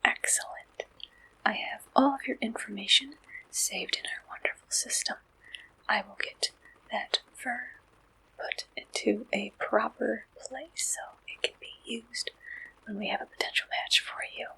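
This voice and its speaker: A woman doing a gentle, polite ASMR roleplay as a friendly, helpful service clerk, speaking in soft whispers. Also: a little high, fairly even intonation, a little slow, gravelly, breathy edge, rough recording. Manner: gentle, polite, attentive customer-service way of talking. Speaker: ASMR performer playing a dating service receptionist.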